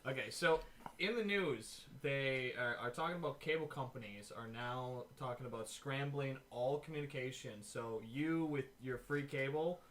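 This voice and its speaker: A man's voice: medium pitch, normal speed, fairly bright tone. A young man in a casual podcast chat, explaining a news item hesitantly with many fillers.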